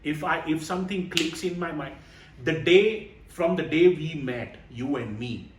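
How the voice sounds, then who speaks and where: A man's voice: on the high side, unhurried. A man in his late thirties from India, co-hosting a motivational podcast in an earnest, emphatic way that repeats phrases. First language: Indian languages. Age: 30s. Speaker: podcast co-host. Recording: roomy, distant mic with faint noise.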